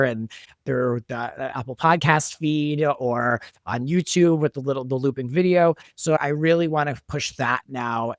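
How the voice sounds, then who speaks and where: A man speaks casually as a creator being interviewed about his production work. His pitch is middling. The recording is close and dry, with little room sound. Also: no room sound. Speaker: audio drama creator, interview guest.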